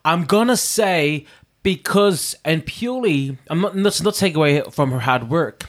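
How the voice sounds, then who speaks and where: A man co-hosting a casual review show, animated, talking fast over his partner. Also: fairly high pitch. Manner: animated, talks over others, acts out quoted bits. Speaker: podcast co-host reviewing a drag competition. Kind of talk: casual chat.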